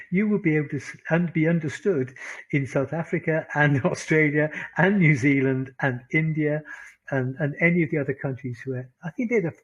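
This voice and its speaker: A man with a Welsh accent teaching an online English class, animated, laughing often and repeating phrases for emphasis. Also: a little high, slightly rough, dull audio. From Wales.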